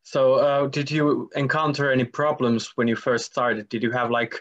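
A man's voice: medium pitch, flat delivery, moderate pace. A young man interviewing a musician over a video call, asking casual questions with many fillers and hesitations. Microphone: video call with headset. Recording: dull video call audio.

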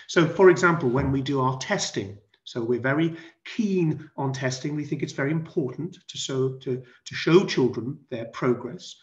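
A middle-aged man from West Wales giving an explanatory online presentation as a college head, posing rhetorical questions and repeating points for emphasis. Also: mid-range voice. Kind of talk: online presentation to prospective families. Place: online video call. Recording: dull band-limited video call audio.

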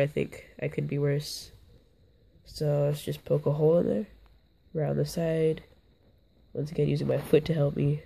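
A young man, hoarse from being sick, talks his way through a casual floor-level vlog. His voice is gravelly and dark, and it stays flat and monotone. He rambles and often breaks off mid-sentence to restart. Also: fairly high pitch, slight background noise. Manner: rambling, self-interrupting, offhand. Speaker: bug-keeping vlogger. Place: indoors, on a carpeted floor.

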